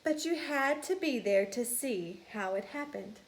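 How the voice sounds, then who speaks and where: A woman narrating a children's storybook in an expressive, deliberate and emphatic way. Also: a little high, slight background noise, low-quality recording.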